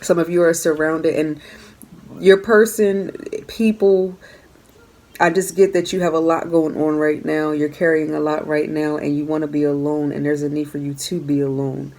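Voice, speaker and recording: A woman giving a casual tarot reading to her viewers, talking earnestly and repeating her phrases, her voice going hoarse. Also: deep voice, flat delivery, normal speed, darkish tone. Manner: earnest, repeats phrases while coaching the listener. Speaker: tarot reader.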